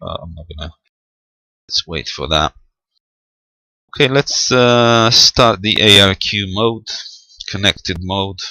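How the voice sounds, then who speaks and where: A man's voice: fairly low pitch, slow pace, long pauses, sharp tone, dry recording, poor audio. A man giving an unscripted step-by-step technical demonstration, hesitant and thinking aloud as he goes. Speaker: amateur radio tutorial presenter.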